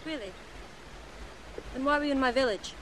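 Actress as a spirited young village woman, high voice, outspoken, distant, outdoor noise. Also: moderate pace, close to an everyday voice. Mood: curious. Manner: curious, then sharp and scolding.

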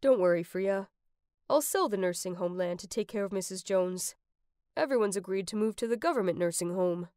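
Woman as a lower-voiced, kindly older person. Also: sing-song, slow, darkish tone, no echo, clean studio sound. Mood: reassuring. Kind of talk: dramatic story dialogue.

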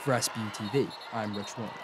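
A man's voice: lowish voice, fairly even intonation, quick pace, background noise. Young American man reading news. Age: young adult. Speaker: student TV news reporter. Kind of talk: scripted news narration.

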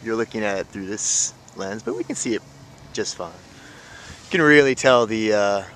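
A man's voice: on the low side, expressive, moderate pace, a bit bright. A man giving casual, off-the-cuff commentary while filming outdoors in an open field. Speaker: camera operator narrating a drone test flight. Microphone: handheld camera mic. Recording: noisy outdoor camera audio.

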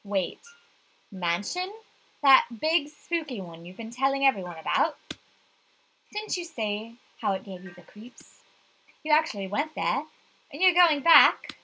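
A woman voicing a curious young female friend in a visual novel game, questioning and gently teasing. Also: on the high side, very animated, unhurried, long pauses, slight background noise, heavily acted, poor audio. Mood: incredulous.

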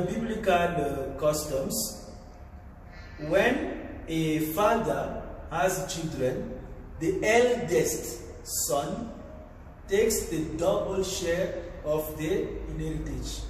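Slow-preaching priest in an echoey chapel. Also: male voice, high voice, darkish tone, recorded from a distance, a little hiss or hum. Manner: slow, deliberate preaching with frequent pauses and fillers. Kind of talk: homily. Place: large tiled chapel, indoors. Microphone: far camera.

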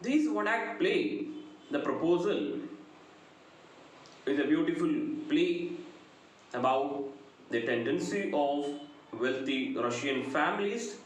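South indian guy giving a lecture, measured phrases, pitch swings a lot, really echoey room w some noise. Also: lively intonation. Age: middle-aged. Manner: deliberate, short measured phrases. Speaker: English literature lecturer. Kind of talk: prepared lecture. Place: indoor room, very reverberant.

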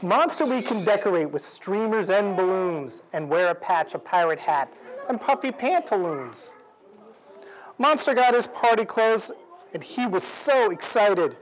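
A man in his forties reading a picture book aloud to children in an expressive, measured storytelling way. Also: high-pitched, rough recording. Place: indoors, library event room. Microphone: lapel. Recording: clear background noise in room.

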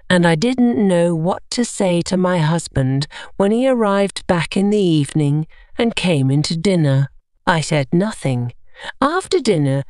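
A woman reading a scripted story for English learners, carefully and with clear enunciation. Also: lowish voice, a little mellow, dry recording, studio quality. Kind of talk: scripted audiobook narration.

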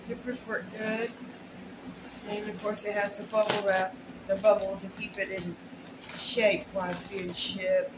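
A woman's voice: medium pitch, flat delivery, unhurried. A middle-aged woman chatting casually and plainly to camera, rambling in short bursts as she shows her shopping. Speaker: shopping haul vlogger. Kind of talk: casual unboxing chat to camera. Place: small plain indoor room at home. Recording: roomy echo, noisy home recording.

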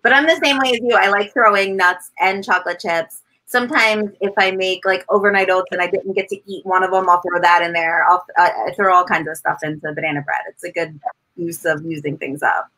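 A woman's voice: on the low side, fairly even intonation, a little fast, bright, forceful tone, low-quality recording. A woman in her thirties with a pastry background, chatting casually on a video call from her home kitchen. She is chatty and laughs between thoughts. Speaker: pastry baker guest.

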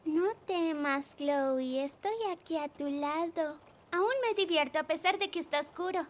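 Little girl, childlike, very high-pitched, slow-paced, animated, encouraging, children's cartoon. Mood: soothing. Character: cheerful, caring little girl who loves pretend play. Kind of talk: Spanish-dubbed children's cartoon acting.

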